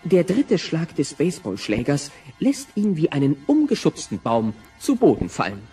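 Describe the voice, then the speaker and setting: Guy narrating an audio drama, very up and down intonation, soft mellow tone, bit distant. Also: fairly high pitch, wildly swooping pitch, normal speed, soft background music.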